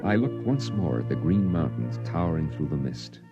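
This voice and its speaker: A solemn, weary storyteller, deep. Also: male voice, sing-song, moderate pace, muffled recording, over loud music, heavily acted. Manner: solemn, reflective narration. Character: weary male narrator-survivor on Mars. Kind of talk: 1950s radio drama narration.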